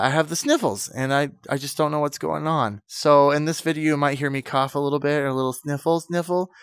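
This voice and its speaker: A man's voice: medium pitch, a bit bright, clean tone, dry recording, clean studio sound. A man with a cold and a fading voice, chatting enthusiastically as a channel host. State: sick, losing his voice. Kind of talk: casual vlog intro and comedy skit.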